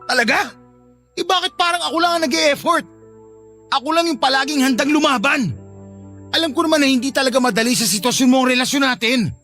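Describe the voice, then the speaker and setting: A man's voice: very high voice, a little fast, sharp tone, faint music behind. A man with a Filipino accent acting as a hurt, insistent young boyfriend in a radio drama, earnest and pleading. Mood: pleading, wounded. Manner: earnest, pleading, emotionally pressing.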